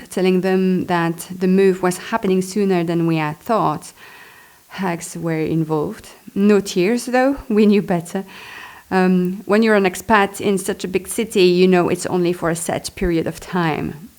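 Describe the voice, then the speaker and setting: Lower-voiced woman reading her memoir. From Belgium. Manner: expressive, chatty, self-deprecating asides. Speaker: author reading and commenting on own memoir. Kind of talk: memoir read aloud with casual asides.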